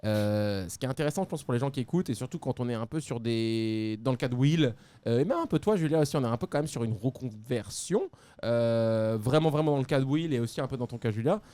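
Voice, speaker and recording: A man hosting a casual podcast interview, chatty and quick, slipping English words into his French. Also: medium pitch, lively intonation, warm tone, clean tone. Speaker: podcast host.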